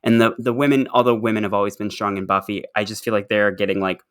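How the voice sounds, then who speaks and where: A man co-hosting a podcast, talking casually and enthusiastically, often halting and repeating words. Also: on the low side, little pitch movement, clear, resonant tone. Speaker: podcast host. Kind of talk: casual chatty discussion.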